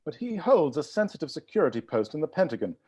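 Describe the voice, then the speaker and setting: Man playing a pedantic, husky, swooping butler. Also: fairly high pitch, big pitch swings, quick pace, dark tone. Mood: accusing, smug. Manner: pedantic, pointed, theatrical. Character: fussy, pedantic, accusing male butler hosting suspicious dinner guests. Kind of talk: live table read of a film script.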